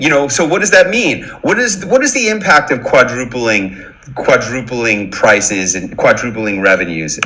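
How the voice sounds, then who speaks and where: A man hosting a webinar, excited and animated as he talks. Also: medium pitch, a little hiss or hum, low-quality recording.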